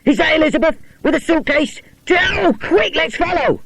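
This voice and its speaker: Guy doing an excited kid sleuth from an old storybook, high and urgent, really animated. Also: moderate pace, slight background noise, heavily acted. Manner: urgent, exclamatory. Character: eager, excitable schoolchildren detectives, boys and girls.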